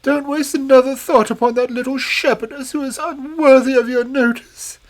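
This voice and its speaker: A woman voicing a wicked, imperious old fairy queen in a shrill, commanding voice. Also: fairly even intonation, moderate pace, natural voice. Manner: shrieking, commanding.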